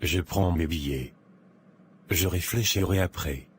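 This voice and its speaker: A man acts out a vain young office worker in a cartoon moral tale, always broke and hungry for luxury. His voice is low, with clear noise behind it. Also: a little slow. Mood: defiant. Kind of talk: animated moral tale dialogue.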